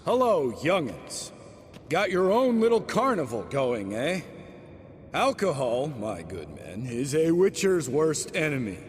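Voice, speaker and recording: A man's voice: on the high side, sing-song, a little slow, long reverb tail, slight background noise. An actor playing a drunk monster hunter who pompously mimics his old mentor in a fantasy game, mock-solemn and grandiloquent.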